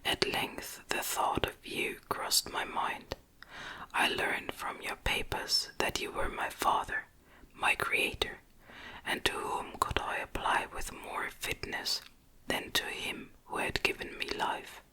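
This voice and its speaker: A woman narrates classic gothic fiction entirely in a breathy whisper. Her delivery is gentle and very slow, with crisp, bright sibilance, made for ASMR listening. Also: rough recording. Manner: gentle, measured, literary storytelling. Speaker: audiobook narrator.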